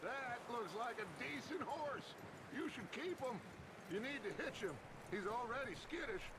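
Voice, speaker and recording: An actor playing a commanding, reassuring outlaw gang leader with an American accent in a video game cutscene. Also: male voice, very high-pitched, lively intonation, normal speed. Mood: wry. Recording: noisy outdoor ambience behind dialogue.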